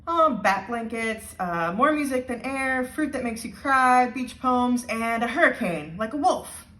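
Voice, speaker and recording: A woman's voice: on the high side, lively intonation, measured pace, fairly bright tone, recorded from a distance, pitched above the performer's own voice. A woman voicing a shy, curious little schoolgirl in a storybook, hesitant and earnest. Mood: nervous, halting. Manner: hesitant, wide-eyed. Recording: roomy, echoing indoor sound.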